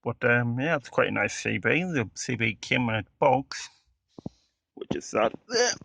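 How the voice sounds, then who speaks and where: A man's voice: mid-range voice, lively intonation, measured pace, gravelly, fairly bright tone, a little hiss or hum. A man giving a casual, hesitant, matter-of-fact demonstration of a piece of equipment as a hobbyist presenter.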